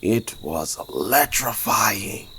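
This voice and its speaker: Slow, swooping, smug lovestruck suitor. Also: male voice, on the low side, animated, a crowd in the background. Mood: smug flirting. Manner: flirtatious, theatrical, self-assured. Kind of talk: audio drama acting.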